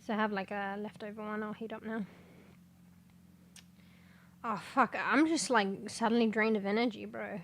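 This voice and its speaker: A congested woman chatting casually on a livestream, rambling, swearing and breaking into sudden loud exclamations as she reads things aloud. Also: medium pitch, steady tone, moderate pace, long pauses, a little hiss or hum. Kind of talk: casual stream chat, reading aloud.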